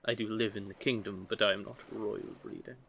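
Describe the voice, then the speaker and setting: A man voicing a curious, teasing young merman in an intimate audio roleplay, with a gently formal, measured way of talking. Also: fairly low pitch, fairly even intonation, gravelly, slight background noise. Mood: earnest, flustered. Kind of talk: scripted audio roleplay, intimate one-sided conversation.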